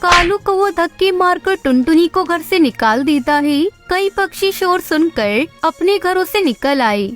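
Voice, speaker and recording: A woman's voice: very high-pitched, expressive, a little slow, few pauses, faint music behind. A woman storyteller reading a scripted children's story as narrator.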